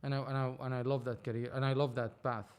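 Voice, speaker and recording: A man, a podcast guest telling his story in a casual, animated, rambling way with many restarts. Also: mid-range voice, quick pace, clear, resonant tone. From Lebanon. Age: 30s. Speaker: film producer, podcast guest.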